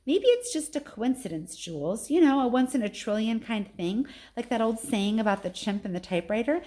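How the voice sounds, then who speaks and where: A woman reading a storybook as a doubtful, gently exasperated father with a wry, questioning manner. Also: on the high side, expressive, a little fast, pitched above the performer's own voice, natural voice. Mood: skeptical. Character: George, skeptical, reluctant, gently exasperated father.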